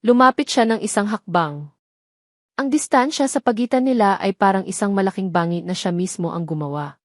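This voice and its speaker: A woman narrates a romance audio drama in a medium-pitched voice. She tells it in short, measured, sentimental phrases. The sound is very dry and close. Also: dry recording.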